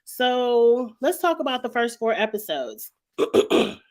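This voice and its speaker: A woman hosting a casual review vlog, chatty and emphatic, her voice slightly raspy and stuffed up from a cold. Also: a little high, big pitch swings, slow pace, darkish tone. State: lingering cold with sinus congestion, clearing throat often. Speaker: YouTube show host reviewing reality TV. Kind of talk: casual vlog commentary to viewers.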